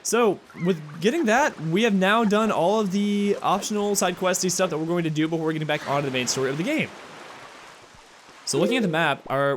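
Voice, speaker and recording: A tired man with a slightly raspy voice and occasional cracks, chatting casually as he comments on a video game he plays. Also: fairly high pitch, slight background noise. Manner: rambling, chatty, quick asides and chuckles. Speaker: let's play host. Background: game music.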